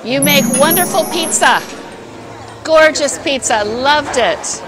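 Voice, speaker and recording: A woman's voice: high-pitched, animated, takes it slowly. A woman casually reviewing food to camera in a playful, exclaiming way, chatting between bites. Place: busy buffet restaurant, indoors. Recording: busy restaurant chatter with music behind. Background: crowd chatter and music.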